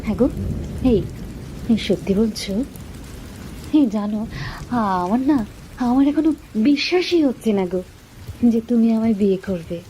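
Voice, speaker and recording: A woman's voice: a little high, animated, a little slow, long pauses, a little hiss or hum, poor audio. A woman voicing a young village woman, later a pleading ghost, in a horror cartoon. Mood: coy. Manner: soft, tender, pleading.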